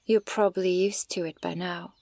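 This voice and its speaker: A woman playing a haughty, menacing, centuries-old elven archer in an ASMR roleplay, deliberate and condescending, at times nearly whispering. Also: lowish voice, fairly even intonation, creaky, warm tone. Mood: brooding, solemn. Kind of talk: slow ASMR roleplay monologue.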